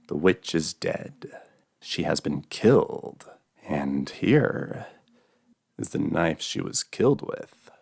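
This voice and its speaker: A man voicing a sinister husband in a horror story, solemnly intoning in a drawn-out, menacing way. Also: extremely low pitch, animated, gravelly, darkish tone, slightly rough, full character voice. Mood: solemn, eerie.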